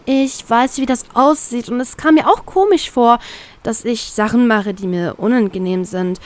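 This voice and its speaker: A woman's voice: fairly high pitch, normal speed, darkish tone, voice pushed up, lightly acted. A woman reader voicing a young woman who talks in a light, sweet way and stammers when shaken. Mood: dreamy cheerful. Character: young woman, dreamy, cheerful and manipulated.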